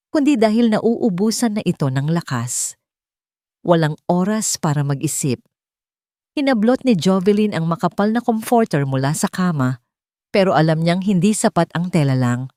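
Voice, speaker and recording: A woman's voice: on the low side, warm tone, dry recording, clean studio sound. A woman narrating a dramatic scripted love story.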